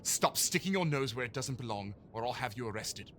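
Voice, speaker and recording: An actor playing a stern, threatening police authority in an audio drama. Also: male voice, mid-range voice, lively intonation, brisk, a bit bright, a little hiss or hum, close to an everyday voice.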